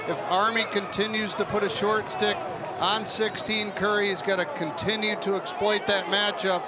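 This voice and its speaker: A man's voice: high voice, level tone, moderate pace. A man working as a sports color commentator, giving live analysis of a game. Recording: loud arena crowd and noise. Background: sports crowd.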